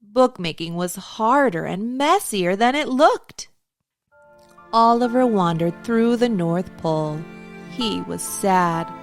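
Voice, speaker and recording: A woman reading a picture book aloud to young children as a storytime host, in an expressive, deliberate way. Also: mid-range voice, faint music behind.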